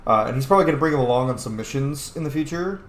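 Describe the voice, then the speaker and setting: A man's voice: medium pitch, lively intonation, rapid-fire. A man narrating his roleplay playthrough of a game in a casual, informal, musing way. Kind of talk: casual game commentary and in-character dialogue reading. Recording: roomy echo, faint background noise.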